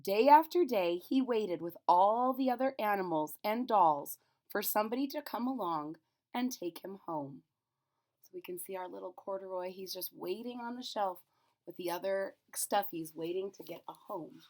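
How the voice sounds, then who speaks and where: Animated woman reading a children's story. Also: mid-range voice, moderate pace. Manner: simple, child-directed explanations. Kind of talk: animated children's read-aloud with explanatory asides.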